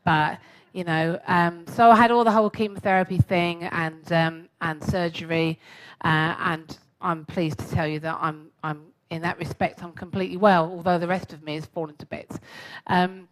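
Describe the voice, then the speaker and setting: A woman's voice: on the low side, fairly even intonation, normal speed, rough recording. A woman in her sixties with a London accent gives a chatty, self-mocking talk to a live audience and asks them questions. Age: 60s. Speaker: guest speaker.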